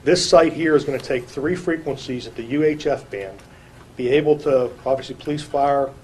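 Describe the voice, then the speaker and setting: A man speaking as a police chief, explaining details formally in a halting, long-winded way at a public meeting. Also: medium pitch, a little mellow. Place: indoor public council meeting. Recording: clear background noise, room ambience.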